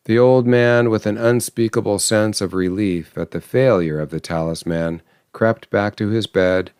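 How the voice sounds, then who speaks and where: A man's voice: low-pitched, darkish tone, clean tone, dry recording, clean studio sound. A man narrating an audiobook as dramatic, scripted storytelling.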